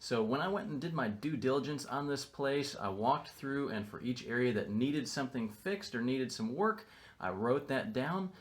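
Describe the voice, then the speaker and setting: A man's voice: mid-range voice, lively intonation, moderate pace. Upbeat man giving a renovation update. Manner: upbeat, explanatory, matter-of-fact. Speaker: real estate investor vlogger. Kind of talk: casual video walkthrough. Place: renovation site, indoors and outdoors. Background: construction noise.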